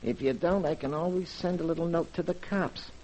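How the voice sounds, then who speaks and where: A cold, threatening gangster from a 1940s radio play, acted out by a man, talking in clipped street slang. His voice sits a little high, and the old broadcast recording has a muffled sound. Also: brisk, darkish tone, natural voice. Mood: menacing. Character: menacing male gangster blackmailer, cold and threatening.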